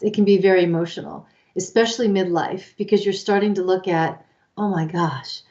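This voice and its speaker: A woman in her fifties co-hosts a casual advice chat in a mid-range voice. She explains in an animated way and slips into the voices of the people she quotes. The sound is a little dull and lo-fi. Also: medium pitch. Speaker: co-host, life coach.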